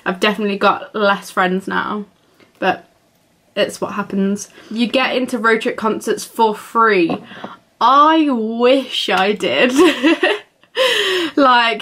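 A woman's voice: mid-range voice, pushed and bright. A young woman, chatting casually to camera in her bedroom, giggling and rambling with lots of fillers. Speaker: vlogger. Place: small bedroom indoors.

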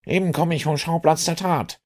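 A man reading an audiobook, voicing an agitated police inspector in a husky, animated voice. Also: fairly high pitch, normal speed, voice pushed up, close to an everyday voice. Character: gruff, agitated middle-aged male police inspector.

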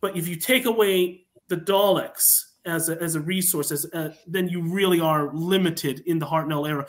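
A man talking enthusiastically as a writer guest on an online panel chat, telling his story in an animated way. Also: on the high side, moderate pace. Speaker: podcast guest, fan writer. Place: remote online call.

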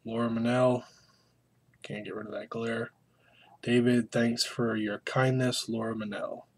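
A man's voice: medium pitch, level tone, a little slow. A man in his thirties with a North American accent, chatting casually to camera in a rambling, self-deprecating way. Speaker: vlogger showing autograph mail. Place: small indoor room full of collectibles.

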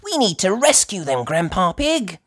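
An eager, curious little piglet girl, acted out by a male storyteller, childlike and full of exclamation. The voice is high and very animated, made for a children's cartoon storybook. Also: normal speed, heavily acted. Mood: urgent.

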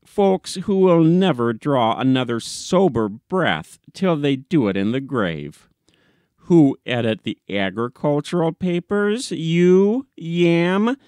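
A man's voice: fairly high pitch, expressive, slow, a little mellow, clear, resonant tone, no room sound, studio quality. A man reading an audiobook, playing a brash, self-righteous newspaperman who rants in a pompous, declamatory way. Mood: scornful ranting. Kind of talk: dramatic audiobook reading of classic comic short story.

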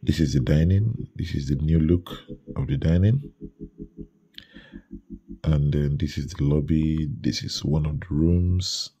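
A man with a Nigerian English accent, narrating a walkthrough of a renovation site in a relaxed way with many fillers. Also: very deep voice, unhurried, long pauses, slightly rough. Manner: relaxed, filler-heavy, pointing things out. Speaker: renovation contractor.

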